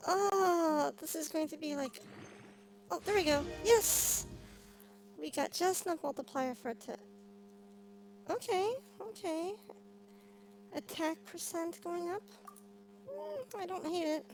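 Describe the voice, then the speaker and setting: A woman streamer giving casual live game commentary, thinking aloud with frequent pauses. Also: very high voice, animated, creaky, a little hiss or hum, rough recording. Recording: music behind the voice.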